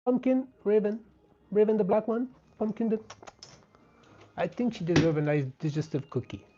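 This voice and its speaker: A man with a French Canadian accent, a native French speaker, chatting gently and casually as he hosts a live craft stream. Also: sits high, normal speed, background noise. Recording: dull, lo-fi sound.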